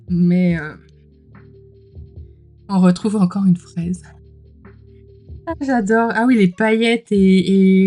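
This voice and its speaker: A woman gives a casual, gushing commentary as an unboxing host. Her voice sits at an average pitch and she is mildly under the weather, with a throat that needs clearing. Soft music plays faintly behind her informal, exclamatory talk. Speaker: host of a tarot unboxing channel.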